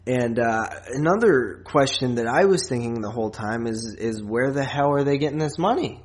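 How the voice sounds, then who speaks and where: A man talks in an average-pitched voice, as a podcast co-host casually reviewing a film. His phrasing is halting and hesitant, as if he is working out each opinion while he speaks. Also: lively intonation.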